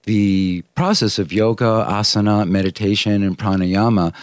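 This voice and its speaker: Man with Greek as his first language, low voice, measured interview guest, dry sound. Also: steady tone, clean tone, no room sound. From Greek family. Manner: measured, explanatory, with many uh fillers. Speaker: yoga teacher and anatomy expert. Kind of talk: interview, explaining at length.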